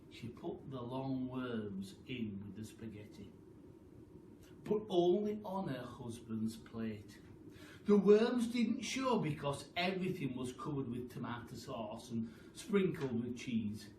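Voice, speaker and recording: A man reading a children's story aloud in an expressive, deliberate storytelling style, with chatty asides. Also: mid-range voice. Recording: roomy, distant mic, faint noise.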